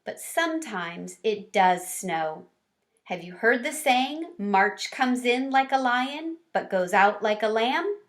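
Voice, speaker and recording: A woman's voice: on the high side, expressive, slow pace, fairly bright tone. A woman voicing a kind, patient female schoolteacher who explains things attentively in a storybook.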